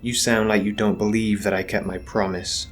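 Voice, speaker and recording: A man voicing a menacing, controlling husband who talks in a low, cool tone. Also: flat delivery, normal speed, a little hiss or hum, lightly acted. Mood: cold. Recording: faint music underneath.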